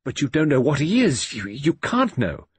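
Man as stern father, agitated, disapproving, pleading, raised animated pitch, audiobook character. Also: a little slow, slightly rough, full character voice. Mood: appalled, pleading. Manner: ranting, pleading.

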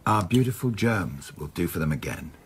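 A man's voice: low voice, sing-song, moderate pace, a little hiss or hum. An English man acting in a radio drama as a genial, courteous doctor at a dinner party. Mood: reflective.

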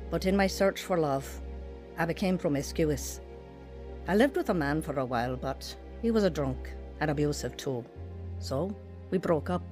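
Young mother in an audio drama, troubled, recounting hardship over prominent music. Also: low voice. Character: troubled young mother telling her painful life story. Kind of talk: dramatised first-person narration, radio drama.

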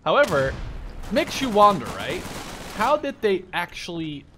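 A man in his twenties giving casual, rambling, sweary gameplay commentary in an excitable way. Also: high-pitched, measured pace, heavy background noise. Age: 20s. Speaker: gamer, video host. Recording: loud game gunfire behind the voice. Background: gunfire and machine guns from game.

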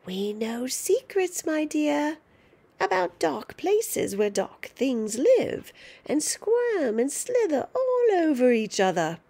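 A woman's voice: sits high, sing-song, slow pace, mellow, pitched above the performer's own voice, full character voice. A woman doing a throaty, sinister old witch grandma. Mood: menacing. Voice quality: throaty whispering.

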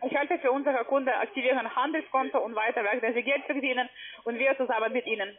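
A man with an unusually high voice, working a scam call in halting, broken sentences. He keeps pressing his point in an insistent way. His voice reaches the listener through a tinny phone line. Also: fairly even intonation, a crowd in the background. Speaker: scam caller. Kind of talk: phone conversation.

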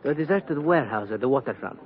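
A man's voice: mid-range voice, lively intonation, fast, close to an everyday voice. Man acting a secretive go-between, dull old recording. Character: fat little foreign male go-between, secretive and polite. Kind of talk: 1950s radio drama acting. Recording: old band-limited radio recording.

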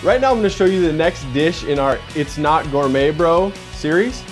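A man's voice: animated, normal speed, soft background music. A young man hosting a casual cooking demo in a home kitchen, chatty and upbeat, narrating each step as he works. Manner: upbeat, chatty, says "boom" while working. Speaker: cooking show host.

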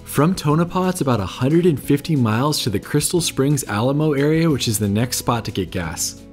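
A man's voice: medium pitch, animated, fairly quick, darkish tone, faint music behind. A man in his thirties narrating a road-trip travel video, giving viewers practical tips in a scripted voice-over. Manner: informative, recommending tips to viewers. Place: voice-over recorded apart from footage.

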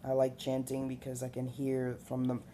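A man's voice: medium pitch, completely flat delivery, moderate pace, mellow, slight background noise. A young man talking casually to his webcam on a porch, rambling with many fillers and restarts. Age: young adult. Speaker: vlogger. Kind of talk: casual rambling vlog update. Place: porch outdoors, seated on wicker chair.

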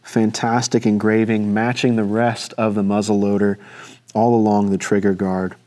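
A young man presenting and describing a pair of antique pistols in a measured, detailed way, seated at a table in an indoor studio set. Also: lowish voice, flat delivery, darkish tone. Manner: measured, detailed describing while handling objects. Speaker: firearms presenter. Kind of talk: informal product walkthrough.

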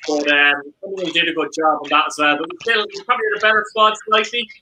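An Irish man hosting a football chat over a video call, arguing his point and firing off rhetorical questions. His voice is sharp, very bright and on the high side. The room is echoey, with faint noise behind it. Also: steady tone, fast. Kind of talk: casual post-match chat.

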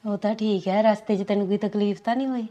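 A woman playing a sick young wife who talks quietly and softly to her visiting sister. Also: mid-range voice, steady tone, fast talker, lo-fi sound, close to an everyday voice. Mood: weary.

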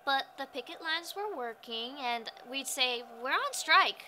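An actress playing a spirited woman farmworker organizer recalling a strike in an animated, conversational way. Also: high voice, unhurried, a little hiss or hum, heavily acted, low-quality recording. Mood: earnest. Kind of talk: dramatized oral history monologue.

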